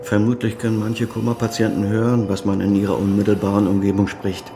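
An older man played by an actor with a low voice over faint noise. He is a stern, moralizing father in a radio drama, questioning and reproaching in a naturalistic way. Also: monotone, brisk, close to an everyday voice. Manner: reproachful, probing. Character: stern, moralizing elderly father, former chief physician.

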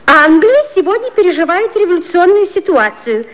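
A lively young secretary with a very high voice. She delivers her words in a declamatory, theatrical manner. It sounds like a vintage 1950s radio drama. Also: moderate pace. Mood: declaiming. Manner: crisp declamatory reading aloud of newspapers. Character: lively young female secretary to the prime minister. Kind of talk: 1950s radio play acting. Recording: old 1950s radio recording.

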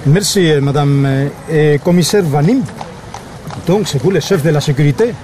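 An actor playing a gracious, courtly Spanish prime minister in a radio drama, speaking formally and politely. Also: male voice, fairly high pitch, expressive, moderate pace, close to an everyday voice. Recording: noisy ambience behind.

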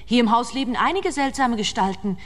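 A woman's voice: a little high, lively intonation, fairly quick, natural voice. A woman playing a blasé young make-up artist in a radio play, nonchalant and unbothered, with her voice ringing in long reverb.

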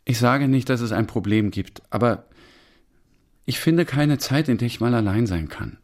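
A man's voice: mid-range voice, moderate pace, natural voice. An actor playing an earnest, weary male newcomer in a naturalistic radio drama. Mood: defensive. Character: Taha, weary, questioning male newcomer to Germany.

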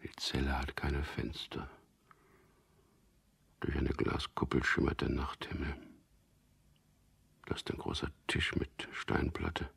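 An actor playing a dazed, fearful prisoner thinking aloud in a radio drama, halting and hushed, with a husky, gravelly voice. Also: male voice, rumbling low, monotone, full character voice. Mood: dazed, numb. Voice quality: husky, gravelly, half-whispered. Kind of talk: radio drama inner monologue.

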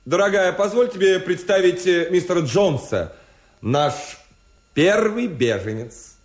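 A man's voice: sits high, lively intonation, unhurried. Echoing, formal male ambassador. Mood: gracious. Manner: formal, courteous. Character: courteous, formal male Latin American ambassador. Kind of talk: Soviet radio drama acting.

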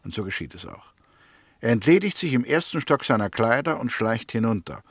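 An actor playing a confident, suspicious prosecutor in a 1960s German radio play, laying out his case deliberately and insistently. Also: medium pitch, sing-song, husky, no echo, studio quality. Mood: deliberate, narrating. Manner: deliberate, insistent, narrates theories step by step.

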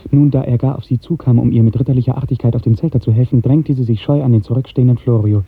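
A man narrating a literary radio drama in 1950s German style, with measured, lyrical storytelling. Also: medium pitch, fairly even intonation, husky, no echo. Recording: muffled, narrow-band 1950s radio recording.

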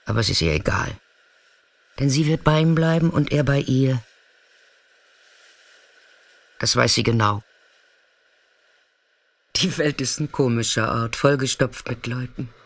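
A man acting in a radio play as a weathered, fearless narrator, speaking in an understated, intimate way with hushed moments. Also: medium pitch, normal speed, gravelly, long pauses. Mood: quiet, reflective.

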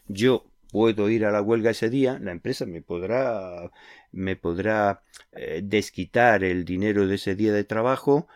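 Male radio host, deep-ish and dry, patient explainer who leans on examples. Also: dry recording. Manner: earnest, explanatory, uses concrete examples. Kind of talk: conversational radio interview.

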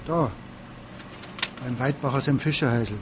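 An actor playing a practical local police detective, plain-spoken and colloquial, with a Bavarian accent. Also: male voice, medium pitch, moderate pace, background noise, natural voice. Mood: matter-of-fact. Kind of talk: naturalistic radio drama acting.

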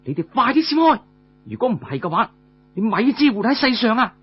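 A male storyteller speaks for a bold warrior daughter in a historical tale. The delivery is brisk and defiant, swinging in pitch, with a husky tone and a slightly dull sound. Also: big pitch swings, full character voice. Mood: commanding.

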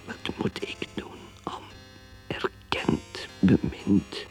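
A woman's voice: drawn-out words, darkish tone, faint music behind. An actress playing a bitter, ambitious middle-aged mother who drinks, in an intense, dramatic radio play style. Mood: hurt brooding. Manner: intense, dramatic, swinging between speech and whispered brooding. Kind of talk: radio drama acting, dialogue and inner monologue.

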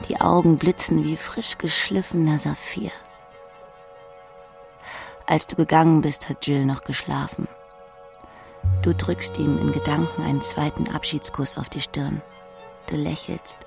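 A man reads literary prose for an audiobook in a fairly high voice with a lot of creak. Faint music sits behind the narration. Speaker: audiobook reader. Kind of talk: scripted audiobook narration in second person.

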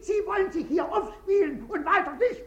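Shrill, stammering old man. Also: moderate pace, highs cut off. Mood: furious. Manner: stammering, repeats words, blustering. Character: irate, half-deaf old man, stubborn complainant. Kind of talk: 1950s German radio comedy acting. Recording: roomy 1950s radio studio.

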